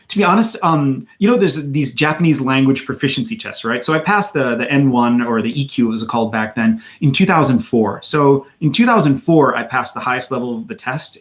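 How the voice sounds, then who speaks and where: A man in his thirties from Wisconsin with an American accent, chatting casually as he answers viewer questions on his livestream. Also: medium pitch. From Madison, Wisconsin, USA. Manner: chatty and loose, many fillers, reads out viewer questions. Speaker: channel host answering chat questions.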